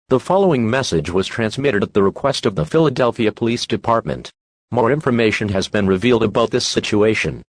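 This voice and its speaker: A man's voice: medium pitch, no room sound. A synthetic-sounding male announcer reading a formal, scripted emergency alert.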